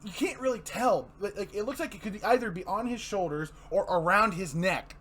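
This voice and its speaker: Bright-voiced man hosting a comic reading. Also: high voice, normal speed. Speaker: host and reader. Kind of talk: casual narration and review chat.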